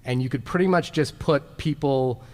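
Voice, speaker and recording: A man's voice: medium pitch, normal speed, darkish tone. A man talking as a panelist in a live discussion. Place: large convention room. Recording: long hall reverb.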